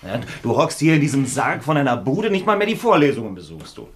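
An actor in a radio drama playing a practical young friend, blunt and reproachful out of exasperated concern. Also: male voice, mid-range voice, very animated, fast talker, close to an everyday voice. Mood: teasing, reproachful. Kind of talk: German radio drama acting.

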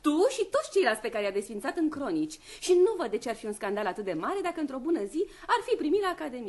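An actress playing a vain, quick-tempered young stage star in a radio comedy, pouting and sarcastic. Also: female voice, high-pitched, very animated, a little mellow. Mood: taunting. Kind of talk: Romanian radio comedy play acting.

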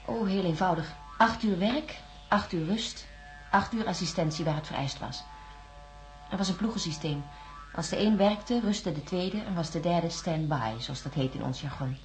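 An actress playing a troubled, guarded astronaut scientist in a science fiction radio drama, articulate and insistent. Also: female voice, lowish voice, moderate pace, faint music behind, close to an everyday voice. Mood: guarded, matter-of-fact.

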